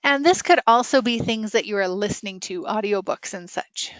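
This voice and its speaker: A woman's voice: medium pitch, normal speed, dry recording. A woman teaching a casual, interactive online writing lesson, chatty and full of questions for her students. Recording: dull, lo-fi online class audio.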